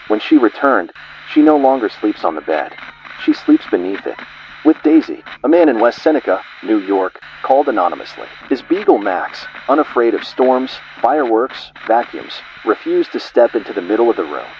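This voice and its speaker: A man with a fairly low voice narrates horror in grave, ominous, clipped phrases. His pitch swings widely as he leans on single words. The sound is dull and lo-fi, with a faint hiss behind it. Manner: ominous, grave delivery in short clipped fragments.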